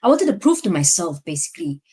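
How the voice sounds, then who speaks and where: A woman's voice: on the low side, big pitch swings, quick pace, darkish tone, husky. A young woman from Singapore with a Singaporean English accent, a lecturer reflecting on her past in a casual conversation. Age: young adult. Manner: reflective, frequent "you know" fillers. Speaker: lecturer, guest.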